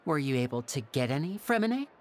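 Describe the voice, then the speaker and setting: Poised, lively young magician. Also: female voice, sits low, brisk, a little hiss or hum. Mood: affable. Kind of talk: anime video game voice acting. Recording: music behind the voice.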